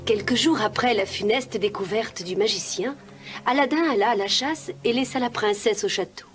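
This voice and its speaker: A woman narrating a children's animated tale in a scripted storybook style. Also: fairly high pitch, normal speed. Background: film music.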